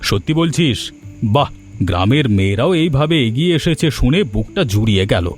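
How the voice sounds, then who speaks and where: A man voicing a hardworking elder brother in a family cartoon drama, gentle and reassuring. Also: mid-range voice, expressive, fairly quick, soft background music, lightly acted. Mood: earnest. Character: hardworking elder brother, tired but devoted.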